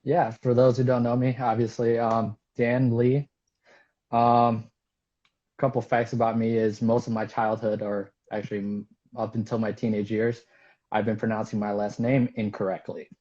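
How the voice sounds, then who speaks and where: A man who spoke Vietnamese as his first language, a guest talking casually in an easygoing, halting way with frequent ums. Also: mid-range voice, level tone, warm tone, lo-fi sound. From United States. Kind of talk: casual conversation.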